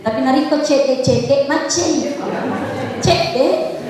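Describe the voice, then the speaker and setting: A high-pitched Sundanese-accented woman from West Java, preaching with playful questions to the crowd. She is heard from a distance in a big echoing hall with a long reverb tail. A crowd is clearly audible behind her. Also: slow pace. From West Java, Indonesia. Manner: playful, quizzes the audience. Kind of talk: lively sermon with Arabic chanting.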